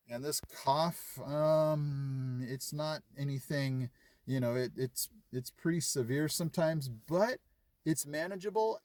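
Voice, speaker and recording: A man's voice: medium pitch, a little mellow, no room sound. Chatty man vlogging in a dry room. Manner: chatty, retells conversations, frequent fillers. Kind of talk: casual chat to camera.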